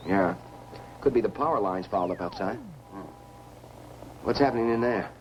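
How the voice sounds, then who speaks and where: A man's voice: fairly low pitch, normal speed, darkish tone, lo-fi sound, natural voice. A man acting a practical, frustrated radio operator in a 1950s science fiction film. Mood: businesslike. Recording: noisy old film soundtrack.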